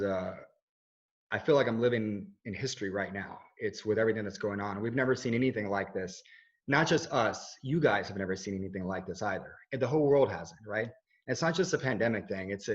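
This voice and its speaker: A man in his thirties, raised in Switzerland, with no accent, speaking as an interviewee in an earnest, rambling way full of restarts and fillers. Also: on the low side. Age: 30s. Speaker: interviewee, video journalist. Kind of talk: casual conversational interview. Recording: dull lo-fi audio.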